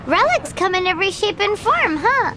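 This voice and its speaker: Squeaky, extremely high little fairy. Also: female voice, very animated, normal speed, noisy background. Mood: curious. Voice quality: squeaky, childlike. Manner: cheeky, speaks of herself in third person. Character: tiny childlike female fairy companion, cheeky and indignant. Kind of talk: video game character acting.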